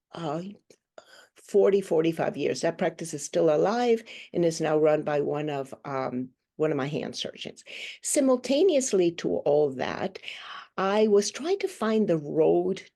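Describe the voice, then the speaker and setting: A woman in her sixties from Guatemala, giving a thoughtful, earnest interview answer over a video call and pausing often to search for words. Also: low-pitched, dark tone. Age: 60s. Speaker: guest, hand therapist.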